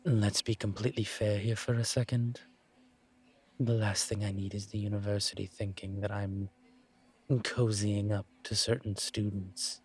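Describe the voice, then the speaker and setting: A man voicing a suave, teasing incubus professor in an intimate audio roleplay, courteous and measured, with deliberate pauses. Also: lowish voice, little pitch movement, breathy edge. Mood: measured, candid. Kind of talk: intimate one-sided audio roleplay acting.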